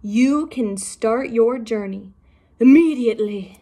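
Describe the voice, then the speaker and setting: A regal dragon king from a children's storybook, voiced by a woman. The delivery is stately, slow and emphatic, with a commanding royal air. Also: on the high side, dark tone, breathy edge, heavily acted.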